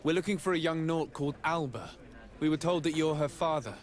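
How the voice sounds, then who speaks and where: An actor playing a polite, composed young diplomat in a video game, speaking formally. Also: male voice, a little high, fairly quick, natural voice. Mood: businesslike. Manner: formal, courteous questioning. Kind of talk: video game cutscene dialogue. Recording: clear noise, outdoor ambience behind.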